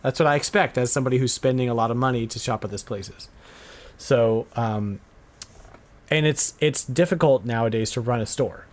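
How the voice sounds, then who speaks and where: A man's voice: mid-range voice, normal speed. A middle-aged man chatting casually as the host of a podcast. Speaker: podcast host and retro game store owner.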